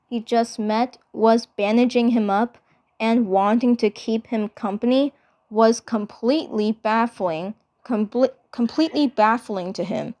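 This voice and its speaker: A woman's voice: fairly high pitch, a little mellow. A woman storyteller narrating fan fiction, often repeating her phrases.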